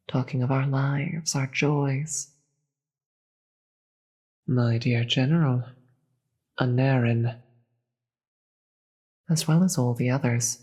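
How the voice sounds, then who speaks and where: A performer voicing a regal, solemn demon queen in a fantasy audio roleplay, speaking formally and gravely in a breathy voice that often drops into a whisper. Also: mid-range voice, a little mellow. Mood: wistful, solemn. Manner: slow, formal, measured, grave.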